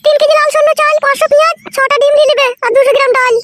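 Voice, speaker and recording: Squeaky-high bossy Bengali cartoon wife. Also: female voice, level tone, racing. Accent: rural West Bengal. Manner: scolding, bossy, coarse-tongued. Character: fierce, bossy young village wife, threatening her husband. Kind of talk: comedy cartoon dialogue. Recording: loud noise behind the voice.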